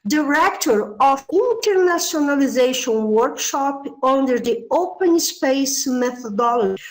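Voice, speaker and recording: A woman with a bright, high-pitched voice introduces a guest speaker. She reads the credentials in a formal, list-like manner before handing over the floor. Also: lively intonation, unhurried, rough recording. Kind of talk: reading a speaker biography.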